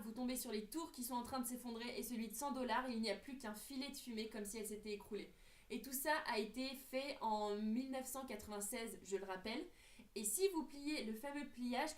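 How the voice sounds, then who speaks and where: A young woman in her late teens, explaining things earnestly in a casual group discussion and hesitating often. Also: fairly high pitch. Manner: earnest, hesitant with many fillers. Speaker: co-host presenting theories. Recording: echoey room.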